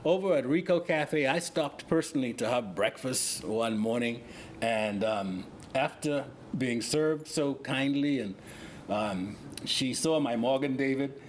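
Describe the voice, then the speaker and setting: A man with a Bahamian accent speaks as a host and coordinator, in a mid-pitched voice. He talks off the cuff in a halting, deliberate manner, with noticeable room noise around him. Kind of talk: unscripted interview and announcements. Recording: clearly noisy room ambience.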